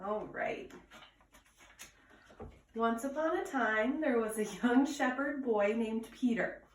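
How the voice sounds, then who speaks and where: A woman's voice: fairly high pitch, slow pace, slight background noise. A woman reading a children's story aloud as a storytime reader, recorded indoors at home. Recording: roomy echo, distant from mic.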